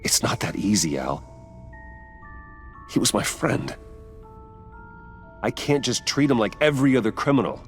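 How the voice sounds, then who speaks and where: An actor playing a brooding, guarded, determined vigilante hero in a video game, speaking in a serious, restrained way. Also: male voice, mid-range voice, big pitch swings, unhurried, long pauses. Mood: conflicted. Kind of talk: dramatic video game voice acting. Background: background music.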